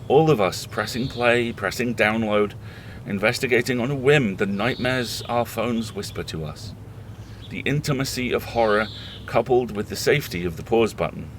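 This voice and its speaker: A man playing a weary, reflective horror host, speaking intimately to listeners in a deliberate, halting, earnest audio drama monologue. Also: mid-range voice, fairly bright tone, slight background noise, lightly acted. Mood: somber, ominous.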